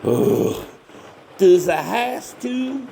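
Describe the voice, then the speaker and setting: A man's voice: high-pitched, big pitch swings, very slow, gravelly, dark tone, slight background noise, heavily acted. A man playing a cocky, crude backwoods monster hunter with a Southern US accent, sarcastic, folksy and bossy, in a comedy horror audio drama. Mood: sarcastic, grudging.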